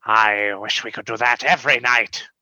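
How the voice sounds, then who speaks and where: An actor playing a proud fanged alien scientist in a comedy radio play, speaking in formal, deliberate phrases. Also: male voice, mid-range voice, steady tone, bright, forceful tone, dry recording. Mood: exhilarated.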